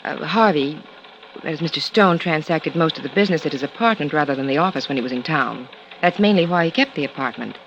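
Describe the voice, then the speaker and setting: A man's voice: a little high, animated, quick pace, fairly bright tone. A man voicing a prim, nervous female secretary in a 1950s radio drama, speaking in a hesitant, careful way. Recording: dull old radio recording with hiss.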